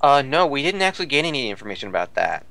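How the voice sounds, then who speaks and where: A man's voice: mid-range voice, very animated, very fast, creaky, sharp tone, no echo. Man explaining in detail, casual podcast talk. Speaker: podcast panelist. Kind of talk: casual discussion, reporting figures.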